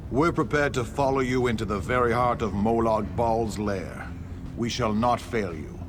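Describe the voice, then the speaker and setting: A man's voice: mid-range voice, moderate pace, noisy background, close to an everyday voice. A man voicing a resolute, honorable guild commander in a video game, firm and formal. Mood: firm resolve.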